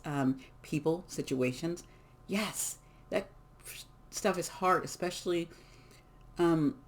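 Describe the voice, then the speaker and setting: A card reader giving a casual collective reading, hoarse from losing their voice, talking in an emphatic, encouraging way with many fillers. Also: medium pitch. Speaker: tarot and oracle card reader.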